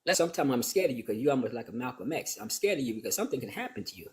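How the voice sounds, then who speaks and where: Animated man telling a story. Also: medium pitch, racing. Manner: animated, mimics others' voices.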